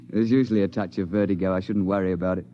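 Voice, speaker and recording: Actor as British big-game hunter, muffled old radio. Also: male voice, low-pitched, steady tone, natural voice. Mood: matter-of-fact. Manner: brisk, matter-of-fact, conversational storytelling. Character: seasoned male big-game hunter, brisk, authoritative safari guide. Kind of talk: 1950s radio drama acting, narration and dialogue. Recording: muffled 1950s radio recording, music behind.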